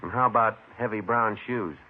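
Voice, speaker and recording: An actor playing a terse, matter-of-fact police sergeant who narrates and questions in an old radio crime drama. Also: male voice, on the low side, moderate pace, slight background noise, close to an everyday voice. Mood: probing. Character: terse, businesslike male police sergeant narrating his case. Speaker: lead detective and narrator. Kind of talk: clipped procedural radio drama narration and dialogue. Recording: tinny vintage radio recording.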